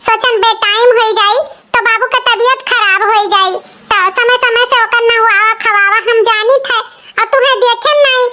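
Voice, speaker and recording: Man playing a meek, high-voiced Awadhi sister-in-law. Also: steady tone, moderate pace, pushed and bright, exaggerated voice. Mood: apologetic. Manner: apologetic, plaintive. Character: meek, hurt elder village sister-in-law, caring and childless. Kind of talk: comic cartoon dialogue.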